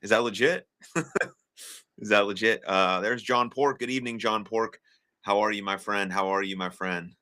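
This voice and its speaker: A young American man with a low voice and a bright, forceful edge hosts a live stream. He chats casually and encouragingly with his viewers, filling pauses with plenty of "uh"s. The delivery stays loose and unscripted. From United States. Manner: chatty, encouraging, frequent filler words. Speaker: livestream host.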